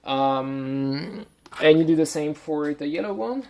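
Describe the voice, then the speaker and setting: A man's voice: a little high, lively intonation, slow. A man with a French accent and a rambling, hesitant delivery full of "like", giving a casual show-and-tell review at home. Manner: rambling, hesitant, heavy use of "like". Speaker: toy reviewer.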